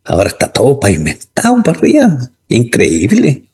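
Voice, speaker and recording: A man in his sixties from southern Chile with a Chilean Spanish accent, a writer telling childhood stories in a relaxed radio interview. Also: medium pitch, a little fast. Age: 60s. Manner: anecdotal, reminiscing. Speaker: writer guest. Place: radio studio.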